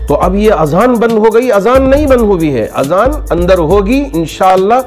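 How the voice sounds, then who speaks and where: Higher-pitched male cleric over music. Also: animated, moderate pace, a little mellow. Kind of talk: formal spoken address answering questions.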